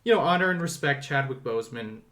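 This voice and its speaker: A man's voice: mid-range voice, expressive, quick pace, a bit bright. A man hosting a podcast, talking casually about films in a conversational way. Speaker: podcast host. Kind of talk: casual film discussion.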